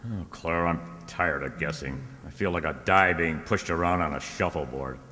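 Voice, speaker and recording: A man's voice: rumbling low, moderate pace, bright, forceful tone, faint music behind. A man playing a dogged, wisecracking private investigator in an old-time radio drama, with clipped, slangy delivery. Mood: brooding, determined. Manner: hard-boiled, slangy, clipped. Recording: reverberant hall, stage-like sound.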